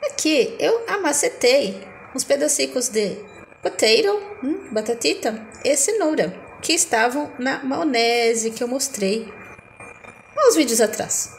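A woman's voice: high-pitched, animated, a little slow, a little hiss or hum, rough recording. A middle-aged woman from Brazil chatting playfully to her phone camera in a home kitchen, narrating her food and coining cute diminutive words. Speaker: vlogger. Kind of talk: casual food vlog narration.

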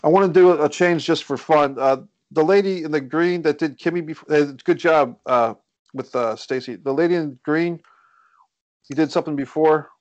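A man's voice: fairly high pitch, normal speed, no room sound, muffled recording. A man hosting a script read and reading out the stage directions. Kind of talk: scripted screenplay narration. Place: indoors on a group video call.

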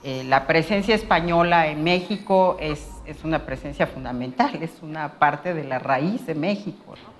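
A middle-aged woman with a very low voice explains history in a measured, lecturing way. She speaks as an expert giving a documentary interview.

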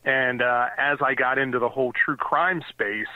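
A man's voice: medium pitch, fairly even intonation, moderate pace, dry recording. A man in his forties from the US East Coast, a guest author chatting casually in an interview and rambling with lots of fillers and restarts. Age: 40s. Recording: dry tinny phone-like line.

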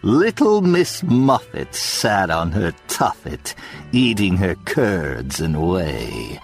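A man's voice: deep voice, very animated, slow, vocal fry, soft background music, heavily acted. A man voicing a sinister elderly alien villain who tells macabre bedtime stories in a theatrical, mock-sweet manner, savoring each word. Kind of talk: theatrical storytelling, audio comedy sketch.

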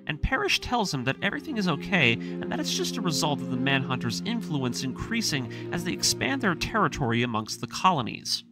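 A man narrating a story breakdown with commentary, in an earnest, emphatic, scripted storytelling manner. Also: fairly high pitch. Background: background music.

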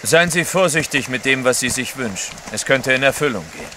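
An actor playing a serious, assured and blunt travelling guide in an audio drama. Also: male voice, medium pitch, expressive, brisk, bright, forceful tone, slight background noise. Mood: grave. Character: grave, watchful male guide, reassuring yet blunt. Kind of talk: German radio play acting.

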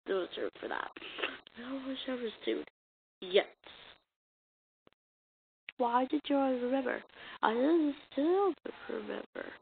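A woman reading a comic dub as an amateur voice actor, acting out the dialogue in a halting, stumbling way on a muffled, noisy recording. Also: on the high side, creaky. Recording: muffled lo-fi recording with noise and clicks.

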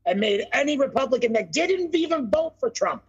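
A young man with a raspy, husky voice that sits high for a man. He jokes loudly and curses freely through a phone mic from his room at home, and the sound comes through dull and lo-fi. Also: animated, a little fast. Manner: loud, joking, cursing freely. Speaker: podcast co-host. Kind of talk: casual chat. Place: home room on video call. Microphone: phone mic, no headset.

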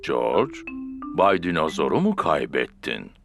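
Deep, gravelly, jolly daddy pig. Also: male voice, huge pitch swings, over music, heavily acted. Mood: warmly grand. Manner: drawn-out, playful, fatherly exclamations. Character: jolly, kindly daddy pig, big and playful. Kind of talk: children's cartoon dub acting.

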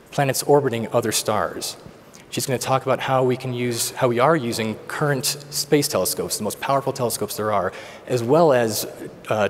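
A man acting as moderator, introducing the panel speakers in a relaxed, conversational way. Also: mid-range voice, slight background noise. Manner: conversational, uses fillers. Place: large conference hall. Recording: long hall reverb, faint background ambience.

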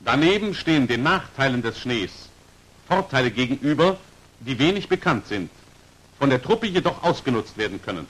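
A man's voice: mid-range voice, sing-song, normal speed, fairly bright tone, poor audio. Formal 1940s training film narrator, man. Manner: formal, precisely articulated, instructional.

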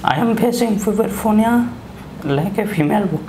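A seventeen-year-old young man with an Indian accent and a thin, high, feminine-sounding voice, giving halting interview answers. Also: lively intonation, mellow. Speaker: voice therapy patient. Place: small indoor clinic studio. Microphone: lapel mic. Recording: echoey room with background noise.

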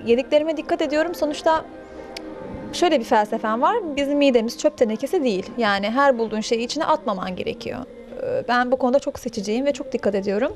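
A woman in her thirties speaks quickly and high into a handheld mic, talking through her views at length. Music plays clearly behind her in the cafe. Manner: chatty, explains at length. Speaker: interviewee, actress. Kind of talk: casual interview answers. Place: pink-walled cafe indoors. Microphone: handheld interviewer mic.

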